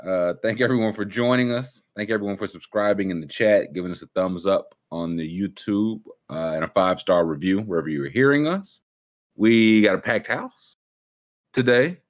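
A man hosting a casual sports podcast, talking in a conversational, halting way. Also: on the low side, lively intonation. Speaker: show host.

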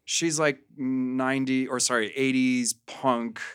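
A man chatting casually as a podcast guest, telling things in a rambling, halting way with lots of filler words. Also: mid-range voice, fairly even intonation, a bit bright, smooth, no room sound, studio quality. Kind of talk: casual chat.

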